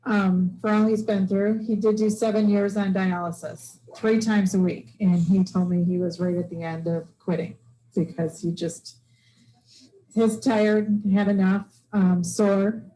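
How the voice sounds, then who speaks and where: Brief: middle-aged woman, warm mellow tone, chatty and halting, sharing news at a meeting. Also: mid-range voice, fairly even intonation, slight background noise, poor audio. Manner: chatty, halting, many ums. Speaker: club member sharing news. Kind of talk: casual personal story. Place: club meeting room. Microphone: handheld microphone.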